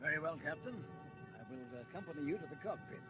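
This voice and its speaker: A man playing an authoritative king and father in an audio drama, formal, measured and commanding. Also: fairly high pitch, expressive, over music, close to an everyday voice, low-quality recording. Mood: firm.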